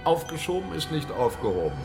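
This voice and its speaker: An actor playing a deranged armed survivor in a German radio drama, with smug mock politeness that can break into childlike pleading. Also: male voice, a little high, very animated, a little fast. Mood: smug, threatening. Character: deranged armed male survivor, menacing, devoted to his toy. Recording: studio radio drama with clear music bed.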